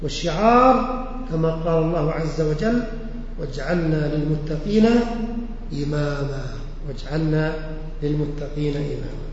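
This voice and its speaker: A man teaching a religious lesson who recites verses slowly, repeats them and explains their words with questions to his listeners. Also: a little high, vocal fry, slight background noise. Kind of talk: lesson explaining poetry and sayings. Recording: echoey hall, muffled lo-fi recording.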